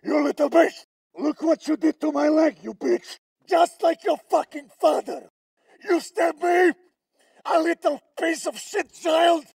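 Menacing, gravelly Russian soldier. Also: male voice, very high voice, measured pace, a little mellow, dry recording, full character voice. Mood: furious. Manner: threatening, taunting. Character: brutal, menacing Russian soldier hunting a child. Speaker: video game voice actor. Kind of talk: combat voice lines for a shooter game.

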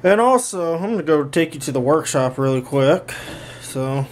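A man's voice: fairly high pitch, animated, moderate pace, slight background noise. A young man giving a casual, handheld video demonstration as the host of a home vlog. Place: bedroom and home workshop. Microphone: handheld camera or phone, held by the speaker.

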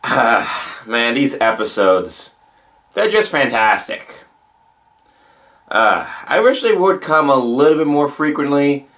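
A man's voice: medium pitch, animated, slow pace, fairly bright tone. A man in his twenties giving casual reaction commentary as a video host in a room at home. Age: 20s. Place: small room at home, indoors.

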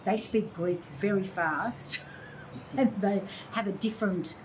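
A woman's voice: mid-range voice, slow pace, background noise. A woman in her sixties with an Australian accent, reminiscing in a casual interview and acting out the voices of people she quotes. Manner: animated storyteller, acts out quoted voices. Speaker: interview guest. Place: indoor room by large windows.

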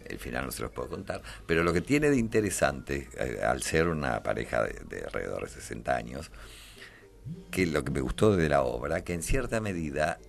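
A man, a guest in a radio studio who describes a play in a relaxed, storytelling way and laughs often. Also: a bass voice, fairly quick, creaky. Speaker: guest actor. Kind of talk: casual radio interview. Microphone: studio mic with headphones.

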